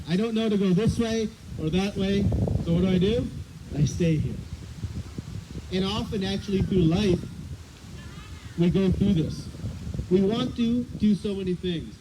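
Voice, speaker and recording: High-voiced man teaching outdoors in wind. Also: moderate pace. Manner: conversational, asks the audience questions. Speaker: spiritual teacher. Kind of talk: scripture class. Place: outdoors, windy. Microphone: battery microphone, cutting in and out. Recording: wind and outdoor noise, loud.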